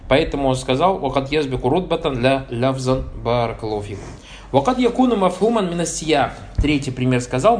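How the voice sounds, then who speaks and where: A man with a fairly high voice leads a grammar class. He reads passages, translates them and keeps checking his listeners with quick questions. He works blessing formulas in between points and fixes his own slips on the spot. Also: a little mellow, slight background noise. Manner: asks the listeners short check questions as he goes; slips and corrects himself. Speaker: teacher of Arabic grammar. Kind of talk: explaining a grammar text, reading passages and translating.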